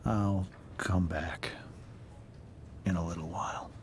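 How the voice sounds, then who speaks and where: A man playing a gentle, hushed, worried middle-aged father in a video game drama. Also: deep voice, steady tone, takes it slowly, a little hiss or hum. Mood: soothing.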